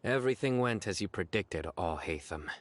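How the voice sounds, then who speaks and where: An actor playing a composed, stern chief enforcer in a video game, with a formal, assured delivery. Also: male voice, low-pitched, animated, normal speed.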